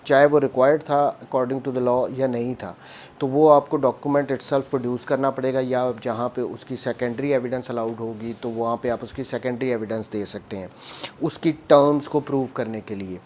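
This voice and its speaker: A man's voice: medium pitch, steady tone, moderate pace, darkish tone, slight background noise. A man with a Pakistani accent giving an explanatory law lecture, mixing in English legal terms and repeating and rephrasing his points in a didactic way.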